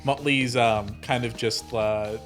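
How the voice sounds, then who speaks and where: A man talks casually as he improvises around a tabletop game. His pitch is average for a man, and music plays underneath. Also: fairly even intonation, slow pace, a bit bright.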